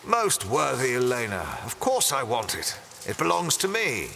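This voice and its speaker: A man's voice: mid-range voice, huge pitch swings, normal speed, gravelly, bright, forceful tone, a little hiss or hum, exaggerated voice. Man playing a pompous, swooping villain. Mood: commanding, smug. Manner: pompous, gloating, mock-courteous. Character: smug, theatrical male villain, wealthy treasure collector. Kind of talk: audio drama acting.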